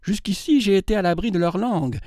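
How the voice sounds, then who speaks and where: A man's voice: sits high, brisk, a little mellow, dry recording, clean studio sound, voice pushed up, close to an everyday voice. A man voicing a timid, aging spinster schoolteacher who speaks in a flustered, pleading way. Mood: anxious.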